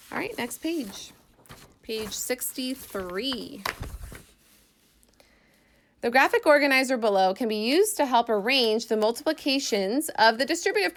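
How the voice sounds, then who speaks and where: A woman math teacher giving an informal lesson, thinking aloud as she explains worked examples step by step in a casual way. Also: on the high side.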